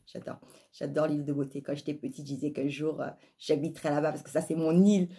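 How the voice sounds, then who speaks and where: A woman's voice: sits low, fairly even intonation, fast, dark tone, breathy edge. A woman with a southern French accent giving an opinionated, emphatic monologue to viewers, leaning on rhetorical questions and repetition. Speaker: life coach and spiritual vlogger.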